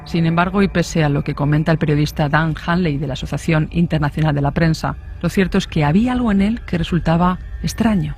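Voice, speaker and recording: A man's voice: on the high side, fast, a little mellow, soft background music. A man from reading a scripted true-crime documentary narration.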